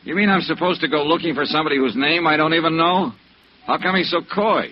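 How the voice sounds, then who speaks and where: An actor playing a wry, world-weary insurance investigator with an American accent, dry and sardonic, in 1950s radio noir style. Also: male voice, a little high, quick pace, slight background noise, lightly acted. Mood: brisk, questioning. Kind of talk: 1950s radio noir acting and first-person narration. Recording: dull vintage radio recording.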